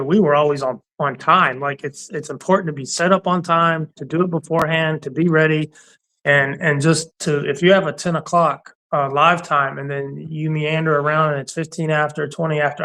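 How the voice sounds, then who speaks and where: A man's voice: fairly high pitch, steady tone, fairly quick, bright, forceful tone, rough recording. A middle-aged man and Army veteran who co-hosts a casual conversation, making his points bluntly and repeating them for emphasis, with frequent laughs. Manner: laughs often between points. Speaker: co-host; Army warrant officer veteran.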